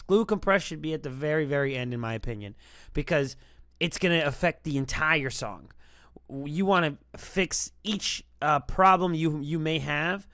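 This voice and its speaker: A man's voice: on the high side, fairly bright tone, no room sound, clean studio sound. A man hosting a music production tutorial, explaining things in an informal, rambling, conversational way. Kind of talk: casual tutorial talk.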